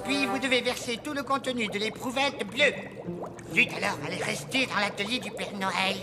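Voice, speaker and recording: A woman's voice: fairly high pitch, normal speed, loud music behind the voice, natural voice. A woman voicing a fussy, scatterbrained elf in a children's cartoon, muttering and dithering. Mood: puzzled muttering. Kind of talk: French children's cartoon dubbing.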